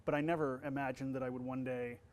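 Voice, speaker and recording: Young-sounding man, relaxed with fillers. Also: mid-range voice, fast talker. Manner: relaxed, many fillers and restarts. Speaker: voice actor and college instructor. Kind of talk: casual interview answers.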